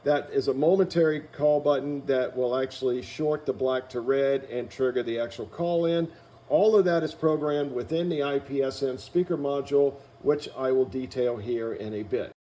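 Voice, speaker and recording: A man's voice: mid-range voice, normal speed, mellow. A man giving an instructional product walkthrough in a methodical, explanatory manner, as a company demonstrator.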